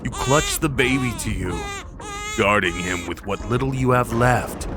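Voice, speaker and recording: A man narrating a scripted dramatic story in the second person, with a measured, solemn manner. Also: mid-range voice, very noisy. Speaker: narrator and voice performer. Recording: prominent music under the voice. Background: dramatic background music.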